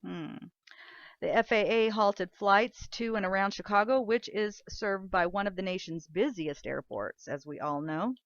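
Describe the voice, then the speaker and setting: A woman's voice: mid-range voice, unhurried, dry recording. A woman presenting the news, reading reports aloud in a somewhat informal way. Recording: dry, dull lo-fi recording.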